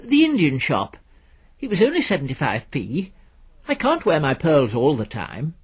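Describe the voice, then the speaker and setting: A man acts out a middle-aged English housewife from a storybook. She speaks high and lightly, with a mild, cheerful temper and a slightly husky edge. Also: huge pitch swings, moderate pace, full character voice. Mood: pleased.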